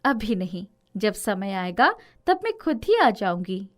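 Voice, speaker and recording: A woman voicing a kindly, gentle fairy who speaks reassuringly in a children's storybook tale. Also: medium pitch, big pitch swings, measured pace. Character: kindly, gentle female fairy guardian of the forest.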